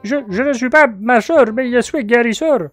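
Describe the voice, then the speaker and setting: A man's voice: very high voice, brisk, no echo, faint music behind, pitched above the performer's own voice. A man voicing a strange male folk healer who speaks in a mystical way. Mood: earnest.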